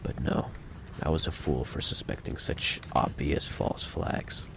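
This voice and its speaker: A man playing a menacing, possessive mafia boss in an ASMR roleplay monologue, with a low, gravelly voice and a deliberate, coolly condescending delivery. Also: fairly even intonation, noisy background, full character voice. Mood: cold storytelling.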